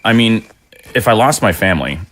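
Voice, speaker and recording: A man acts as a devoted middle-aged undead dad in an audio drama. His voice is low, and he talks gently and reflectively, often halting as he searches for earnest words. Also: animated. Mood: tender, reflective.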